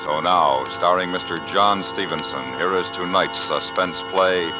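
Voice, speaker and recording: A man's voice: low-pitched, measured pace. A man announcing a mid-century radio thriller in a formal, portentous scripted style. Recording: old radio recording with loud music.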